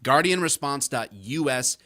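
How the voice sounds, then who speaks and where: Bright-voiced joking podcast host. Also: male voice, mid-range voice, a little slow. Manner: energetic, joking, emphatic plugs. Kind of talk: casual podcast talk.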